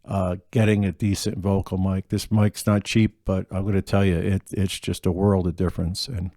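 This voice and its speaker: A middle-aged man talks casually with a deeper, mellow voice. He rambles with lots of ums and restarts. The sound is dry, with no room to it. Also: flat delivery, normal speed, no room sound. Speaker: gigging acoustic musician. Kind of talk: casual gear rundown.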